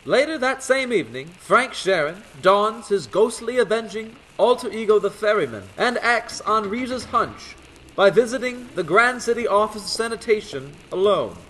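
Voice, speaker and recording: A man's voice: high-pitched, lively intonation, moderate pace, a bit bright, slight background noise, rough recording. A man reading scripted narration in the style of an old-time radio serial.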